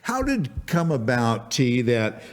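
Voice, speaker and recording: A man's voice: mid-range voice, wildly swooping pitch, a little slow. A man hosting a podcast interview, asking chatty, rambling questions. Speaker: podcast host.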